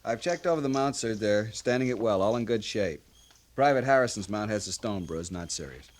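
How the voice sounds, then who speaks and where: A man's voice: on the low side, animated, a little fast, lightly acted. A man playing a formal, earnest young cavalry lieutenant in a 1950s radio western, polite and deferential. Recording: noisy vintage 1950s radio recording.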